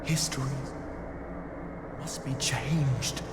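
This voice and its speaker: A man voicing a mysterious disembodied being in a video game, solemn and halting as it gives portentous warnings. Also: steady tone. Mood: solemn, ominous. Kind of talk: scripted video game voice acting. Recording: echoing, long reverb, noisy rumbling backdrop.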